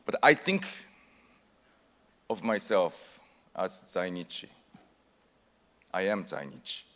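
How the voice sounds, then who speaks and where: Low voiced guy, telling his own story slowly at a forum, big echoey hall. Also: sing-song, a bit bright. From Japan. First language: Japanese. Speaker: actor giving a conference talk. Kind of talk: prepared conference speech. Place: large conference hall.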